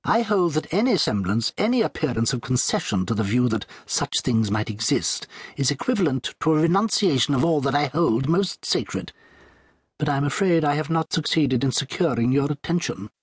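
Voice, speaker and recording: A man's voice: a little high, very animated, moderate pace, breathy edge, dry recording, voice pushed up, exaggerated voice. A man voicing a fussy, prim, humourless academic, earnest and pedantic, in an audiobook. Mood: stiff, insistent. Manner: pedantic, hen-like, long-winded formal phrasing. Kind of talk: audiobook character dialogue.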